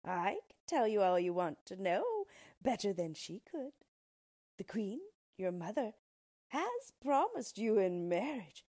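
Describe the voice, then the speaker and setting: A woman's voice: medium pitch, sing-song, a little slow, mellow. A woman reading a storybook, voicing a malicious, boastful little male dwarf with a pompous, wheedling manner. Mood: sly, wheedling.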